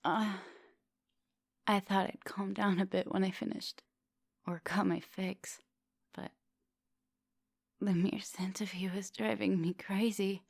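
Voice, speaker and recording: A woman playing a seductive, gentle vampire professor in an intimate ASMR roleplay, murmuring and whispering coaxingly up close. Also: mid-range voice, level tone, takes it slowly, long pauses, no room sound. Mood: hungry, pleading. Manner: breathy murmurs and whispers, coaxing pet names. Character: seductive female vampire professor, hungry yet gentle and polite. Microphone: close microphone.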